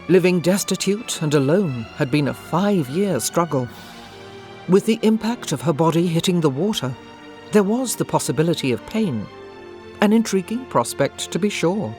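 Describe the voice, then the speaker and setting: A woman's voice: on the low side, darkish tone. A woman reading scripted literary narration as the narrator of an audio drama.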